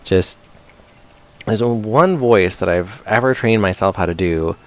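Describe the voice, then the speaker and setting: Low-voiced, playful male game streamer. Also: unhurried. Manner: rambling, playful, chatty. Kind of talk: casual gameplay commentary to live chat.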